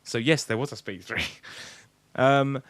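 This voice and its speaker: Man, podcast co-host, drunk, rambling crude banter, mid-pitched voice, dry recording. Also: takes it slowly, clear, resonant tone, no room sound. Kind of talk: casual comedic banter.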